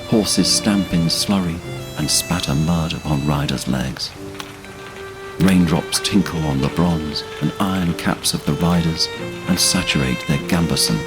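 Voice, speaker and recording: Guy narrating a fantasy tale, slow and solemn, really deep voice. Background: orchestral string music.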